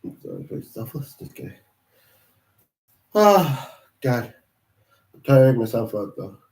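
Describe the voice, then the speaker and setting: A man's voice: mid-range voice, very animated, a little mellow, distant mic. Congested man rambling on a livestream. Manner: halting, full of pauses and restarts. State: sick with a congested voice. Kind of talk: rambling solo livestream chat. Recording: roomy sound from off-mic.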